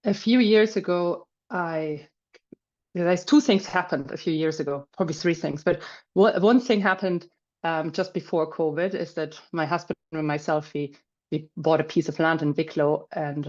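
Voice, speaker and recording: Low-voiced German woman on a video call. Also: steady tone, moderate pace, a little mellow, dull audio. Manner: chatty, many fillers and restarts. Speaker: webinar speaker. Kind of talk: casual presentation.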